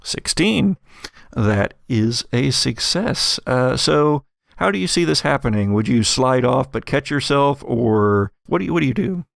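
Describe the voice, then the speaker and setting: A man narrating as game master of a tabletop roleplaying game, explaining things in a halting, carefully qualified way. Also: mid-range voice, animated, gravelly, no echo, clean studio sound.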